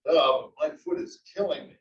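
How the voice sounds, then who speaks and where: A man's voice: on the high side, normal speed, slight background noise, close to an everyday voice. A man playing a grumbling, exasperated old husband with wry complaints in a comedy table read. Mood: complaining. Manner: complaining, exclaiming. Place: library room, table read. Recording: dull, echoey library room, distant mic.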